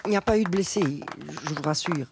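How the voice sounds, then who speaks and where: An actress playing a nervous, apologetic, quietly defiant home care worker in a radio drama, hesitant and stammering. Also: female voice, fairly low pitch, fast, noisy background, close to an everyday voice. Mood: hesitant, aggrieved. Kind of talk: naturalistic radio drama acting.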